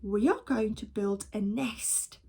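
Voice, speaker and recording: A woman's voice: medium pitch, takes it slowly, a little mellow, husky. Woman reading as a firm, bossy storybook father. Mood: reassuring, resolute. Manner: firm, emphatic commands. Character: tiny father, practical, bossy, determined leader.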